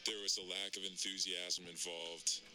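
A man voicing a goofy, deep-voiced male sports pundit who talks slowly with dopey innuendo. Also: flat delivery, lightly acted. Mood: deadpan goofy. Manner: slow, dopey, innuendo-laden. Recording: music bed behind voice.

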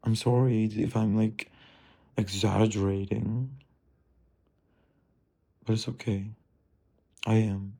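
Man, low voice, very slow, musing self-talk, profane, drifting. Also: steady tone, gravelly, warm tone. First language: Turkish. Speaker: streamer. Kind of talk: rambling self-talk monologue.

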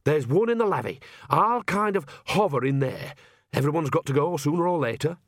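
A man's voice: fairly high pitch, animated, brisk, no room sound, studio quality, voice pushed up. A man voicing a wrinkled, bossy old village witch woman in an audiobook, folksy, blunt and colloquial. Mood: cheeky, blunt. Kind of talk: audiobook character voice.

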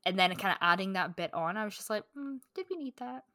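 A woman's voice: medium pitch, expressive, quick pace, sharp tone, no echo, clean studio sound. A woman co-hosting a podcast, talking in a casual, candid way about books. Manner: candid, informal. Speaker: podcast co-host. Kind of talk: casual book discussion chat.

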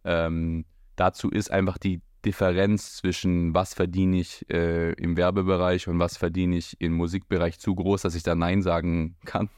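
A man's voice: low-pitched, flat delivery, normal speed, hardly any pauses, a little mellow, no room sound, clean studio sound. A young man, a music video director, talking casually as an interview guest, slangy and loose, with many fillers. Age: young adult.

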